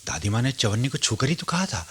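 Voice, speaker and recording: A man's voice: mid-range voice, big pitch swings, fast talker, heavy background noise, close to an everyday voice. A man playing a reflective grown householder who narrates his memories in a radio play.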